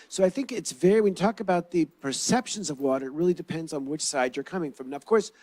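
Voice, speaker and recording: A man speaking loudly as a panelist at a symposium, answering at length and thinking aloud with fillers. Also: on the high side.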